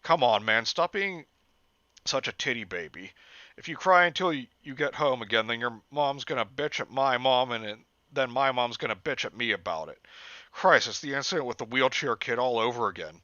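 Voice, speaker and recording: A man's voice: mid-range voice, lively intonation, moderate pace, bright, forceful tone, no echo, muffled recording. A man doing a grumbling, annoyed teen boy. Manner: scolding, annoyed.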